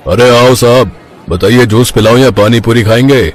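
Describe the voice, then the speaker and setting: A man playing a rough, blustering street thug with a food cart in a children's cartoon. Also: mid-range voice, fairly even intonation, moderate pace, close to an everyday voice. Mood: obliging. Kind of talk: animated children's story dialogue.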